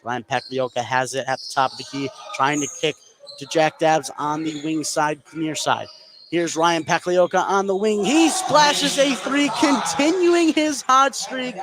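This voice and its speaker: A man calling live basketball play-by-play from courtside, excitable and ready to raise his voice on big plays. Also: high-pitched, low-quality recording. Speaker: play-by-play announcer. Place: courtside in a gym. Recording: clear background noise on live broadcast.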